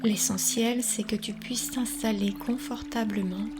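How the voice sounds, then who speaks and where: A woman guides a relaxation session at a very slow pace, in a calm, soothing and instructional manner. She leaves long pauses between phrases. Music plays clearly behind her, with a trickle of water. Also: fairly high pitch. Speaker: yoga nidra guide.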